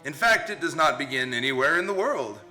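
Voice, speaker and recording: A man's voice: fairly high pitch, lively intonation, a little fast, bright, forceful tone, faint music behind. A man narrating a radio play in a theatrical, vintage storytelling style.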